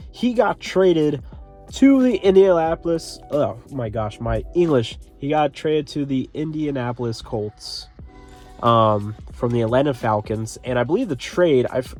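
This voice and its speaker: A man's voice: medium pitch, a little mellow. A young man with a congested, sniffly voice, hosting his own channel and talking casually about football, rambling with fillers and restarts. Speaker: sports commentary channel host. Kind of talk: casual solo commentary.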